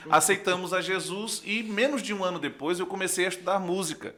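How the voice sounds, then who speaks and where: A man's voice: sits high, fairly quick, a crowd in the background. A young man with a northeastern Brazilian accent, a pastor and podcast guest, giving a conversational testimony and acting out dialogue as he tells it. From Recife, northeastern Brazil. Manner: animated storyteller, acts out dialogue, often checks with "né".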